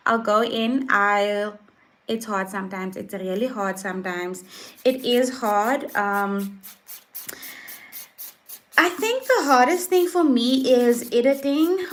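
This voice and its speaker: A South African woman in her thirties, a lifestyle vlogger chatting casually on a livestream, soft-spoken with frequent ums and restarts. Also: on the high side. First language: Afrikaans.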